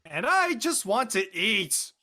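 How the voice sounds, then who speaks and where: A man's voice: high voice, big pitch swings, slow, pushed and bright, exaggerated voice. A man playing an easygoing, wry teenage boy, speaking casually in a drama read.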